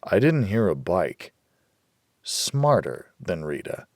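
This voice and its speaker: A man's voice: sits low, very animated, takes it slowly, a little mellow, no room sound, clean studio sound. A man narrating a hardboiled noir audiobook in the first person, wry and world-weary.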